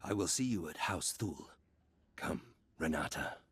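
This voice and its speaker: An actor playing a smug male nobleman's henchman in a video game, formal and quietly menacing. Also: low-pitched, lively intonation, a little slow, breathy edge, no room sound, full character voice. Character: smug male envoy of a lecherous duke. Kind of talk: video game cutscene acting.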